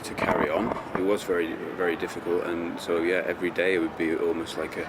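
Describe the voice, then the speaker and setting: A man's voice: low-pitched, flat delivery, fast, very noisy. A middle-aged man gives reflective, hesitant interview answers, repeating words as he searches for phrasing. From Chester, north-west England. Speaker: interview guest.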